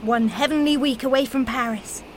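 Actress as a high-voiced, courteous Parisian mother. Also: normal speed, slight background noise, natural voice. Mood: friendly, polite. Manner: courteous, tentative. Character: polite, guarded young Parisian mother on holiday. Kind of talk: radio play acting.

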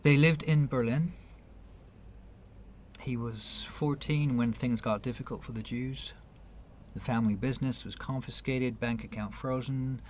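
A man's voice: mid-range voice, steady tone, a little slow, a little mellow, slight background noise, lightly acted. Actor as guarded, wry New York finance man. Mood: somber, restrained. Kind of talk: radio play dialogue.

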